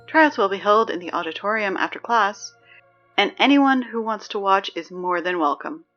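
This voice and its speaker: A woman's voice: mid-range voice, lively intonation, normal speed, fairly bright tone, highs cut off, soft background music, natural voice. A woman playing a dry, sarcastic teenage girl who reads the school announcements in an audio drama. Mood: wry.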